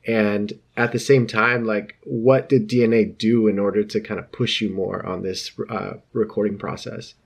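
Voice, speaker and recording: A man's voice: fairly low pitch, level tone, normal speed, gravelly, few pauses, slightly rough. A man working as an entertainment interviewer, asking questions in a casual, conversational way over a video call.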